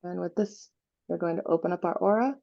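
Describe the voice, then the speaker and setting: A woman leading an unscripted guided visualization meditation, giving gentle instructions with pauses. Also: on the low side, gravelly, dull audio.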